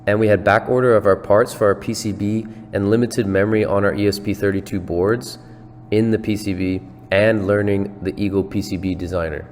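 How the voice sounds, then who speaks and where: Low young male presenter with long reverb. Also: fairly even intonation, fairly quick, a little mellow. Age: young adult. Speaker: student project presenter. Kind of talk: scripted slide presentation. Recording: long reverb, faint hum.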